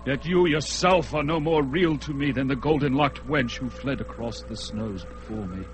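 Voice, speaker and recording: An actor playing a fierce young barbarian hero in a classic radio drama, with a bold, declamatory manner. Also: male voice, medium pitch, normal speed, music in the background, close to an everyday voice. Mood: shaken. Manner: bold, declamatory, shifting to shaken murmurs.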